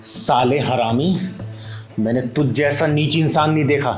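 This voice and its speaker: A man's voice: mid-range voice, measured pace, lightly acted. Man playing a fierce, scolding kinnar elder sister. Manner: scolding, preachy, commanding. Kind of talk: melodramatic moral-story acting. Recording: roomy indoor sound under loud music.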